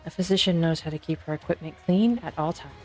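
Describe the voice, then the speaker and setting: A woman voicing a principled, resolute physician in an amateur fan dub, speaking in an earnest, deliberate way. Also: sits low, a little mellow, faint music behind, close to an everyday voice. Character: principled, observant female physician, calm and resolute.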